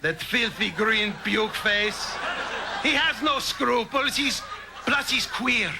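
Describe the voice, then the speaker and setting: Casting: high-voiced insult-comic dog puppet for TV comedy, vulgar and aggrieved, very noisy clip. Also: male voice, takes it slowly, creaky, exaggerated voice. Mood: scornful. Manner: boastful, vulgar, indignant. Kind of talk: mock news interview.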